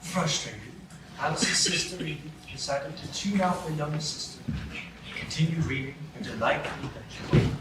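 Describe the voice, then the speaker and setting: A male narrator tells a storybook tale in a slightly high voice for a live radio play. The scripted delivery comes from some distance, with echo and loud background noise. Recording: echoey, distant, very noisy stage recording.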